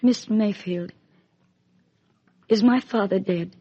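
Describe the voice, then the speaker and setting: A woman playing a hurt young adult daughter questioning her birth mother, hesitant and restrained, breathy, in 1950s radio soap style. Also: mid-range voice, slow. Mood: wounded questioning. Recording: dull lo-fi 1950s radio recording.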